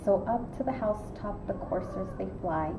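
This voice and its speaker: A woman reading a holiday poem aloud in a soft, subdued way. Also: mid-range voice, normal speed, reverberant, far from the mic, background noise.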